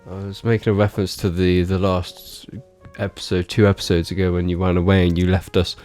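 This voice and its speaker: A man's voice: low-pitched, level tone, brisk, a little mellow, faint music behind. A young man co-hosting a podcast, sounding bunged up from a cold, joking and teasing his friends. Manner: jokey, teasing, calls others "bro". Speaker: podcast co-host. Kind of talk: casual podcast chat.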